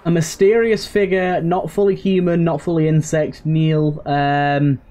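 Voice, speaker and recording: A man's voice: on the high side, flat delivery, unhurried, mellow. A man giving casual, informal let's play commentary, thinking aloud with some mild swearing. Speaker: gaming video host.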